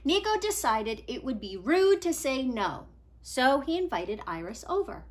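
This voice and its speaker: A woman in her thirties reading a children's picture book aloud expressively, with deliberate dramatic emphasis. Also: sits high, big pitch swings, a little hiss or hum. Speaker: read-aloud storyteller. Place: small living room at home, indoors.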